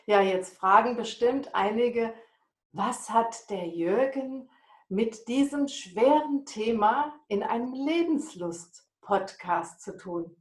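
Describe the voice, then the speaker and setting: A woman's voice: fairly high pitch, expressive, slow, reverberant. A woman hosting a podcast, introducing and interviewing a guest in a conversational way.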